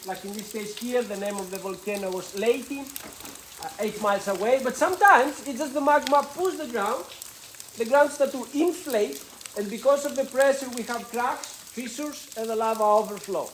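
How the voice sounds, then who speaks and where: A woman's voice: a little high, normal speed, a little hiss or hum. A woman from Greece with a Greek accent, speaking English as a second language. She guides a tour group through a lava cave, chatty and playful, joking with the group in loose grammar.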